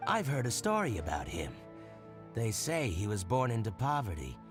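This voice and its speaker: A man's voice: lowish voice, wildly swooping pitch, moderate pace. A man playing a shrewd, possessive boutique owner in a video game, talking in a theatrical, haggling way. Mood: gossipy, scheming. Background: game music.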